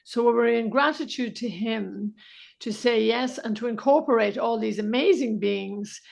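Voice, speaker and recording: A woman hosting a spiritual conversation, with a raised voice and a heavy gravelly fry. She speaks quickly and with thanks. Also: fairly high pitch, a little mellow. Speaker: interviewer. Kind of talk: spiritual interview.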